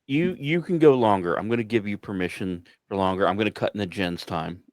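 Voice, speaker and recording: Lower-voiced man hosting, halting delivery. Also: dry recording. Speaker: host and songwriter. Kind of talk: casual panel moderating.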